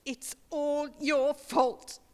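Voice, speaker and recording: A woman's voice: sits high, flat delivery, very slow. A woman playing a grieving, resentful mother sliding into madness, with brooding bitterness, in a horror audio drama. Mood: accusing, anguished. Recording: long reverb, faint noise.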